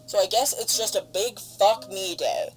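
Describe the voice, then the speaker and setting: A woman playing a frightened, foul-mouthed stranded spaceship crew member, recording halting, weary log entries in an audio drama. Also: medium pitch, faint music behind, natural voice, low-quality recording. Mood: furious.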